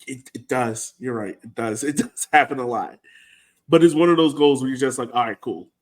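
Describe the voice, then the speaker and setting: American male soccer podcast co-host, mid-range pitch, slangy and informal, conversational match breakdown. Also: normal speed. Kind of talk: casual sports chat, match breakdown.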